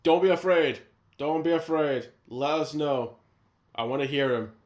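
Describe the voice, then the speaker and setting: A man talks through his movie picks in a loose, rambling way, full of strong opinions and restarts. His voice sits a little high for a man, and the room gives it a noticeable echo. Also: normal speed, darkish tone. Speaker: video host. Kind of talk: casual movie-ranking chat. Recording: echoey room sound.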